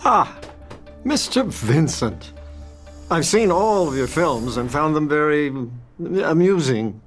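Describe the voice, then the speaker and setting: A man playing a suave, teasing vampire in a dramatic table read, courteous and smooth with hesitant pauses. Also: on the high side, lively intonation, faint music behind. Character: suave, mocking adult male vampire, elegant and teasing.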